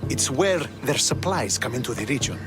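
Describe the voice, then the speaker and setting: An actor playing a stern, proud young Spartan military commander in a video game, speaking formally and with earnest authority. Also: male voice, medium pitch, lively intonation, moderate pace, natural voice. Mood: commanding, businesslike. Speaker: video game character, army officer. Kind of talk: dramatic video game cutscene acting. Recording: game soundtrack music behind the voice.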